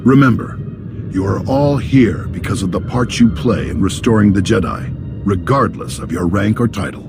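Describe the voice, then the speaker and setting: A man voicing a grave, authoritative Jedi master in a video game, speaking in a measured, formal way with a gravelly voice. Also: on the low side, over music, natural voice. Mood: composed, formal. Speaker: Jedi master leading a meeting. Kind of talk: video game dramatic acting.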